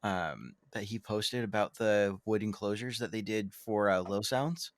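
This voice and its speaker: A man's voice: lowish voice, unhurried, dry recording. A man co-hosting a podcast in casual chat, rambling and self-deprecating, with many ums and restarts. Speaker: podcast co-host.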